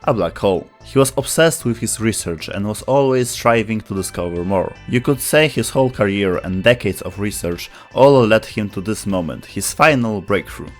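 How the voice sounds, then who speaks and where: A man's voice: on the low side, animated, moderate pace, hardly any pauses. A man reading scripted explainer narration as the narrator of a video. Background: background music.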